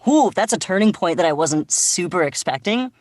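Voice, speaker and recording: A woman's voice: on the low side, expressive, normal speed, a little mellow, no room sound, clean studio sound. A woman on a panel, chatting casually in a gushing, enthusiastic manner.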